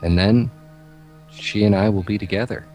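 A man's voice: fairly low pitch, steady tone, moderate pace, dark tone, soft background music, close to an everyday voice. A man playing a grieving, obsessed and sinister reborn doctor in an amateur horror radio play, solemn and theatrical. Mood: calm, sinister resolve.

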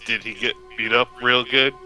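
A man plays a grave-robbing henchman, blunt and offhand. His voice has an ordinary middle pitch and a casual, oafish delivery. Music is clearly audible behind this amateur radio drama. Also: steady tone, normal speed, sharp tone. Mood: tactless, offhand. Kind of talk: amateur radio play acting.

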